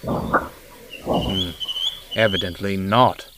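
A man voicing a calm, wise old male engine who speaks in a quiet, dry, measured way. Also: mid-range voice, very noisy. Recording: loud music score behind.

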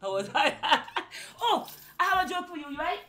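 A woman's voice: extremely high pitch, big pitch swings, unhurried, bright, forceful tone. A woman with a Trinidadian accent, a mother chatting eagerly and laughing often. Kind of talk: casual chat. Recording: echoey room with faint noise.

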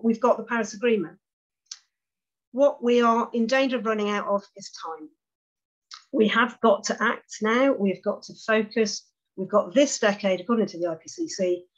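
Earnest woman urging action on a dull line. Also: mid-range voice. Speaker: guest speaker. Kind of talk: closing a presentation.

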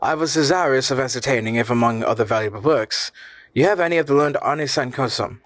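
Man playing an excitable, cunning professor uncle. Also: mid-range voice, quick pace, dry recording, natural voice. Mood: probing, guarded.

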